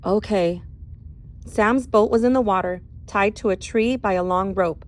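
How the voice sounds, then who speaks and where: Female read-aloud narrator, teacher type, mid-range pitch, expressive storytelling delivery. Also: normal speed. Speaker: teacher reading a novel aloud.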